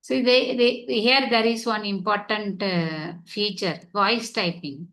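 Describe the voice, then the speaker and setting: A woman's voice: medium pitch, steady tone, slow, a bit bright. Online class teacher, woman, native Tamil speaker; step-by-step explainer who keeps checking students are following. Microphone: computer mic on video call.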